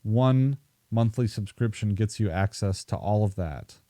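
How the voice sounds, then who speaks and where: A man hosting a podcast, his voice low and mellow. The recording is dry and close, with no room sound. He talks casually, sprinkling in fillers as he reads plugs and questions his guest. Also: measured pace, no echo. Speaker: podcast host. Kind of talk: podcast host reading plugs and asking questions.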